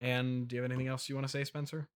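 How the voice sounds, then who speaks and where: A man's voice: medium pitch, level tone, racing, clear, resonant tone, close to an everyday voice. A man playing a doting, slightly unhinged trillionaire CEO father who rambles and placates, as host of an improvised comedy podcast. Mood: fond.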